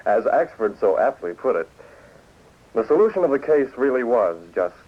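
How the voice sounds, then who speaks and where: An actor playing an assured, shrewd crimefighter in a 1940s radio drama. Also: male voice, mid-range voice, very animated, normal speed. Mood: explaining. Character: assured, shrewd male masked crimefighter and publisher. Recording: dull 1940s radio recording.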